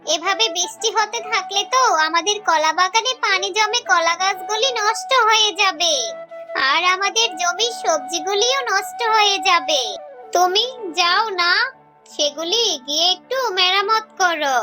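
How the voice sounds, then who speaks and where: Very high-pitched anxious fox mother played by a woman. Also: a little slow, over music. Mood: concerned. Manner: pleading, fretful. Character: anxious, kind-hearted young fox mother and wife. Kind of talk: Bengali children's cartoon voice acting.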